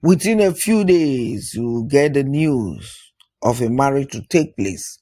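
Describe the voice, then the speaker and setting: A man voicing an old female soothsayer in a folktale, urgent and chiding as she prophesies, in a husky voice. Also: mid-range voice, lively intonation, unhurried, dark tone, dry recording. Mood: urgent, scolding.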